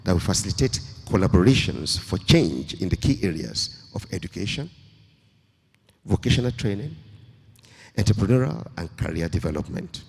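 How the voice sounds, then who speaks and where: Very deep gravelly man, formal speech. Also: a little mellow. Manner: slow, measured and formal. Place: large event hall. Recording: long hall reverb.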